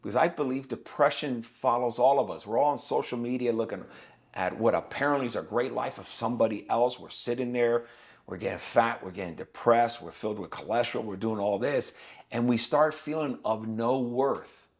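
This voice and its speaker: Man, 50s, podcast guest, blunt and emphatic, mid-pitched. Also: normal speed. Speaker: guest, business owner. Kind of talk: podcast conversation.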